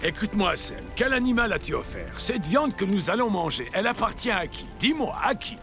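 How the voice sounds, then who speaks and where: An actor playing a stern, grumbling, reproachful old father who loves his wine, in a dubbed animated Bible story. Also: high-pitched, a little fast. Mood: tipsy, accusing. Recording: music behind the voice.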